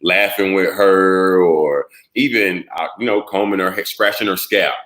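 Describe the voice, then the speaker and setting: Interview guest, man, thirties, very low voice, relaxed and open. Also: measured pace. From South Carolina. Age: 30s. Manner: relaxed, talks openly, breaks into laughs. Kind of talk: casual interview.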